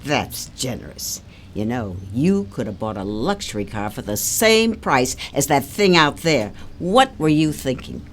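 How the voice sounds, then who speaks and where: A woman's voice: rumbling low, sing-song, unhurried, full character voice. An actress playing a bitter older mother-in-law in an audio drama, sarcastic and nagging. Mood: scornful, accusing.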